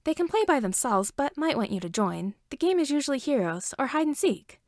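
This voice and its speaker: Woman acting kind mother, reassuring, story character, creaky, close dry recording. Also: high-pitched, sing-song, fairly quick, darkish tone, no room sound, voice pushed up. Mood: friendly. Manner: kindly.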